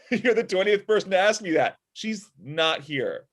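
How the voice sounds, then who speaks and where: A man's voice: high voice, sing-song, measured pace, fairly bright tone, full character voice. Man playing a sardonic, frustrated inventor. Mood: sarcastic. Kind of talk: remote table read of a comedy script. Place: small room at home. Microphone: video call.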